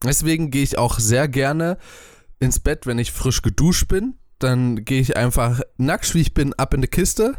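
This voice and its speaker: A man's voice: mid-range voice, darkish tone, dry recording. Man, chatty podcast co-host, rambling with lots of ums, dry close sound. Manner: rambling, hesitant with many fillers. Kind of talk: casual chat. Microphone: webcam and desk mic at home.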